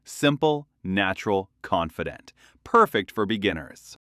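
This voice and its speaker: A man's voice: sits low, a little slow, dry recording. British guy cohosting, bouncy up and down voice, dry jokes, dry studio sound. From London. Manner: dry, playful storytelling with lively jokes. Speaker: podcast co-host. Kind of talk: scripted English-learning podcast chat.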